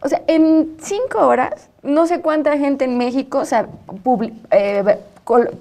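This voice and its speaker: A woman's voice: high voice, unhurried, warm tone. A woman with a Mexican accent in an informal studio discussion, talking emphatically and often checking for agreement. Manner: conversational, emphatic, seeks agreement with tag questions. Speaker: panel guest, journalist.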